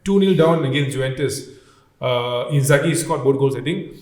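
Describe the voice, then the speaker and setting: A man's voice: mid-range voice, normal speed, darkish tone. A man chatting casually about football as an exclaiming fan and podcast co-host. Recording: echoey room.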